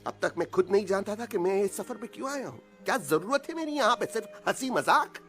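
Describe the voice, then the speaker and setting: A man voicing a showy, heartfelt parrot in an animated film, emphatic and dropping in English phrases. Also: high-pitched, brisk, faint music behind. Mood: stirring, heartfelt.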